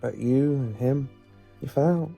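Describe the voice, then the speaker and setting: A man acting as a clever, commanding professor in an audio drama, speaking in a theatrical, deliberate way. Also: mid-range voice, vocal fry, dark tone. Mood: gentle. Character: commanding, clever professor, weary rescuer of others. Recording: long reverb with music behind. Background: music.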